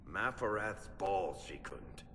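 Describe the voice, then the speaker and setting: A man playing a sardonic, world-weary dwarf rogue and storyteller in a video game, salty and expressive. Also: lowish voice, takes it slowly, full character voice. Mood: angry, sardonic. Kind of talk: video game cutscene voice acting. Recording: reverberant cavern sound with ambient noise.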